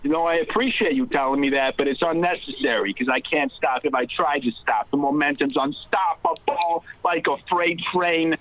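A man's voice: fairly high pitch, moderate pace, few pauses, natural voice. A man voicing an overexcited male caller who rambles in grandiose terms. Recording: dry, dull phone audio.

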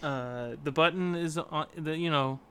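A man co-hosting a podcast, casually discussing video games and griping in an animated way with casual swearing. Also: a little high, a little slow, slight background noise. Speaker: podcast co-host.

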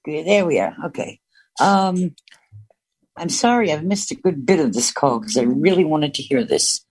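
A woman caller chats with the hosts over an online call, laughing easily. Her voice sits a little low for a woman. She talks in a relaxed, conversational way. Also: normal speed, breathy edge. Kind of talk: call-in chat.

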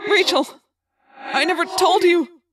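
A woman as a distraught boy, pitched high. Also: takes it slowly, over music. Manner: loud, distraught. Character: anguished, helpless boy calling to his trapped friend.

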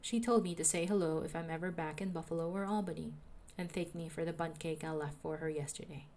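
A young woman with a soothing voice, calmly narrating a personal audio diary as a podcaster. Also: deep voice, fast. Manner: calm, reflective, confessional.

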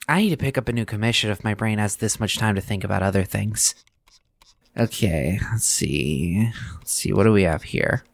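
An actress playing a sardonic, reluctant young student hosting a news show in an audio drama, rambling and exasperated. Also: female voice, a bass voice, moderate pace, vocal fry, no room sound, full character voice. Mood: weary, dismissive. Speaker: podcast news host character.

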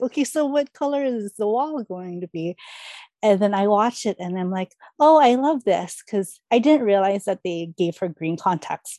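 Mellow-voiced woman chatting as podcast guest. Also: medium pitch, no echo. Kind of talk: casual chat.

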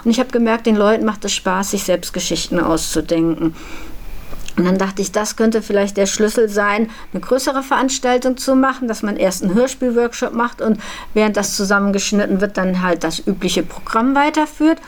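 A woman's voice: mid-range voice, moderate pace. A woman telling of her experiences as an organiser in a spontaneous interview. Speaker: event initiator being interviewed.

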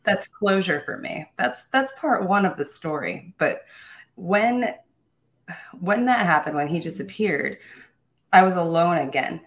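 A woman's voice: lowish voice, animated, measured pace. A young woman telling her own life story in an interview, upbeat and self-assured, with small laughs and restarts. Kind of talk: candid personal storytelling.

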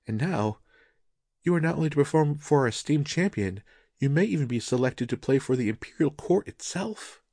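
A gentle, devoted wife from a fantasy audiobook, acted by a man. The voice is raised well above a low natural register and speaks in soft, formal, measured phrases, close and dry. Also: expressive, no echo. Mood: concerned. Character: gentle, devoted wife of a Japanese musician, proud and concerned. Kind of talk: audiobook character dialogue.

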